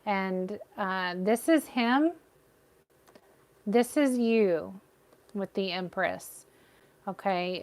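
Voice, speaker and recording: Slow, soothing woman reading tarot. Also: mid-range voice. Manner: gentle, reassuring, addresses viewers by name. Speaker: tarot reader. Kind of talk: live tarot reading to viewers.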